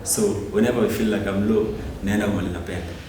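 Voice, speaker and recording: A man's voice: lowish voice, fairly even intonation, fast. A man of twenty-four from Kenya with a Kenyan accent, giving candid answers in an interview. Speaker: student interviewee. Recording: very echoey room, distant mic, noisy.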